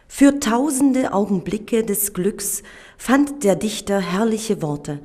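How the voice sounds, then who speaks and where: Slow, measured woman narrator. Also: mid-range voice, dark tone. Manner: measured, solemn reading. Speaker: documentary narrator. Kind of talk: scripted documentary narration.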